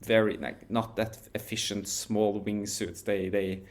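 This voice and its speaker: A man, telling a story as a podcast guest in a relaxed, measured way with frequent restarts. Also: lowish voice. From Norway. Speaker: guest, wingsuit pilot.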